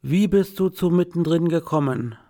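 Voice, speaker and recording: A man's voice: a little high, normal speed, warm tone. A man co-hosting a podcast, talking in a plain, colloquial way between prepared questions. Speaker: podcast co-host.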